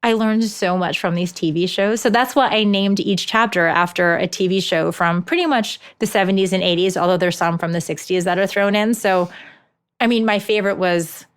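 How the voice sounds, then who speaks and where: A woman's voice: mid-range voice, fairly even intonation, moderate pace, clear, resonant tone. A woman in her forties giving an interview as an author, telling stories animatedly with frequent restarts. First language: Japanese. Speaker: author and education consultant, guest. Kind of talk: conversational interview.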